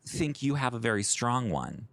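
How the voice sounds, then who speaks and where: A man with an American accent chats casually as a podcast co-host. His voice is very lively in pitch, with an expressive, campy twang. The sound is close and dry. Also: normal speed, no room sound. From Chicago, USA. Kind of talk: casual co-hosted podcast banter.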